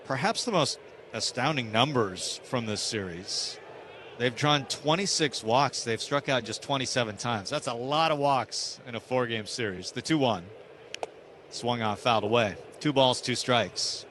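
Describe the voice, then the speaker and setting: A man's voice: mid-range voice, a little slow, fairly bright tone, a little hiss or hum. A man with an American accent calling live baseball play-by-play on radio in short, clipped phrases.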